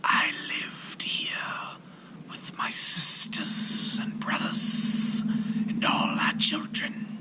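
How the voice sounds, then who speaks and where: Giant breathy serpent prince. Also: male voice. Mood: mournful. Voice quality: breathy hissing whisper. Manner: slow, solemn, drawn-out delivery. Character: gigantic ancient male serpent prince, menacing yet gentle. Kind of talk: storybook character voice.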